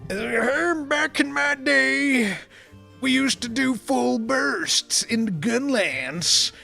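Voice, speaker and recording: A grumbling old man, put on by a male streamer, drawls his complaints about the young. The voice sits high and thin, and each word stretches out slowly. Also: lively intonation, vocal fry, sharp tone, soft background music, exaggerated voice. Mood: nostalgic grumbling. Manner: slow, drawn-out, grumbling.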